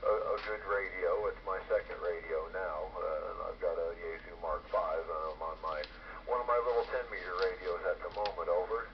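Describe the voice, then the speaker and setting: An American man chatting casually as an amateur radio operator in a folksy, halting way, heard over an FM radio transmission. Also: low-pitched, steady tone. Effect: FM shortwave radio transmission. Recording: muffled, noisy fading ham radio signal. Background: radio static.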